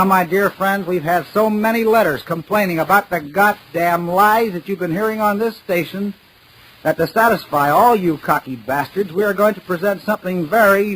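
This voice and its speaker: A man's voice: high voice, fairly bright tone, husky, heavily acted, poor audio. A man playing a pompous, mock-serious radio announcer giving an oratorical comedy speech in 1940s style on an old 78rpm record.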